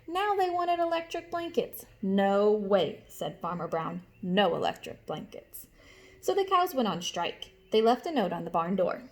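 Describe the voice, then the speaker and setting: A woman teacher reading a storybook aloud to young children, expressively, pausing to explain and ask questions. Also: high voice, big pitch swings.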